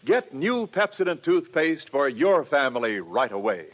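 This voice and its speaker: A man's voice: mid-range voice, big pitch swings, measured pace. A man with an American accent announcing in a formal, emphatic, scripted 1940s radio style. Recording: muffled 1940s radio recording with music.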